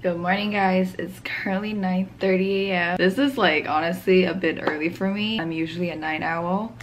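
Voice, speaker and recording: A woman's voice: lowish voice, steady tone, normal speed, background noise. A woman in her twenties chatting casually in a vlog at home, giggly and saying "like" a lot. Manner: chatty, giggly, says "like" often. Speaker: vlogger. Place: home apartment, indoors.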